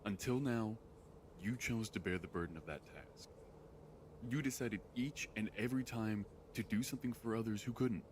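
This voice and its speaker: A man acting a grave, patient mentor of a secret order in an audio drama, speaking in measured, formal phrases. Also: on the low side, slight background noise, lightly acted. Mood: solemn, probing. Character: solemn, patient male mentor of a secret circle.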